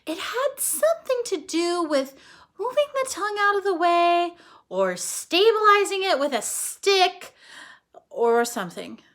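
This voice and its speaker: Woman, bright high voice, unhurried pace, expressive children's read-aloud, simple explanatory asides. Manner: expressive, explains simply, often checks in with listeners. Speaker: teacher reading aloud to children.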